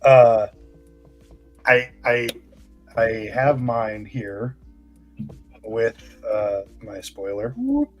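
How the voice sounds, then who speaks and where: A man in his thirties co-hosting a casual livestream over a video call, teasing and relaxed. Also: medium pitch, very slow, long pauses, dull audio, soft background music. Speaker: co-host.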